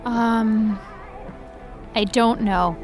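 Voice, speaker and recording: An actress playing a gloomy, weary young woman with a flat, deadpan delivery. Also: a little high, very slow, soft background music.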